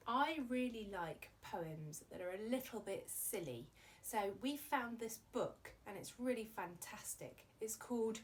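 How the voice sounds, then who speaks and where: A woman's voice: on the high side, a little hiss or hum. A woman presenting a children's arts show, chatty and playful as she talks to young viewers and reads silly verse. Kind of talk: children's presenting and poem reading.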